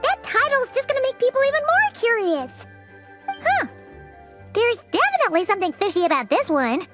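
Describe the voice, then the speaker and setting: A tiny fairy companion in a video game. The voice is extremely high, squeaky and childlike, swooping excitably in a chirpy way, with loud playful music behind it. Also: female voice, moderate pace. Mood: puzzled. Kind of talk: scripted video game dialogue.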